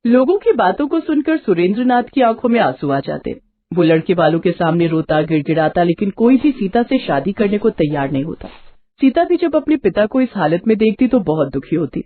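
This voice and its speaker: A woman storyteller reading a scripted moral tale in an expressive, dramatic way. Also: medium pitch, fairly quick, phone-quality sound.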